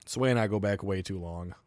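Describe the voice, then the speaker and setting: A man's voice: on the low side, darkish tone, dry recording. A man with an Albertan Canadian accent, hosting a livestream and rambling casually, with loose, profane asides. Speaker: livestream host.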